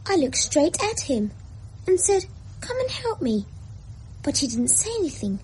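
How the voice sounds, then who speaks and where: A woman's voice: sits high, sing-song, unhurried, darkish tone, rough recording. A woman voicing a lonely, imaginative young boy in a radio drama, talking in a plaintive, childlike way. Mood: pleading. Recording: old radio play with faint hiss.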